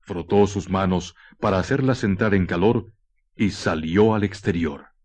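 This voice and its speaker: A man narrating a scripted radio horror drama in a deliberate, suspenseful manner with weighted pauses. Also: deep voice, a little mellow. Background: faint music.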